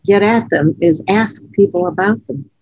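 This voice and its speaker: A woman co-hosting a podcast, chatting frankly and laughing often, her voice low from allergies. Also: normal speed, a little mellow, husky, dry recording, highs cut off. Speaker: podcast co-host. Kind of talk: casual conversational podcast.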